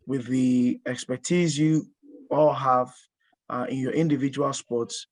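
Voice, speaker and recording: A man's voice: mid-range voice, no echo. A man in his thirties, born in Ghana and working as a strength and conditioning coach, gives a webinar presentation in a hesitant way, full of fillers and restarts.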